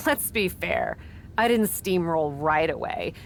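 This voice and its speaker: A woman voicing a cocky young female wrestler in a game, breezy, flippant and quick to defend herself. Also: on the low side, wildly swooping pitch, vocal fry, a little hiss or hum, exaggerated voice. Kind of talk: game cutscene dialogue.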